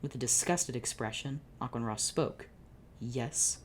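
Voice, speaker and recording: A woman with a low, dark, mellow voice reads a story aloud in a dry comedic way. She breaks off into exasperated, sardonic remarks and keeps a deadpan narration pace. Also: a little fast, a little hiss or hum. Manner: exasperated, sardonic, swearing asides. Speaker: channel host reading fan fiction. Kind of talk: comedic dramatic reading with asides.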